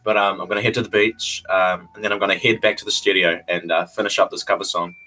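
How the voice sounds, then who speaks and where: A young man with a New Zealand accent chatting on a webcam stream from a small bare room. His voice sits fairly low but sounds bright and forward, and his delivery is easygoing, with frequent chuckles and phrases said twice. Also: fairly even intonation, quick pace, low-quality recording. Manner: laid-back, chuckles often, repeats phrases. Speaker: vlogger hosting a livestream. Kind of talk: casual livestream chat answering viewers. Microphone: webcam at desk distance.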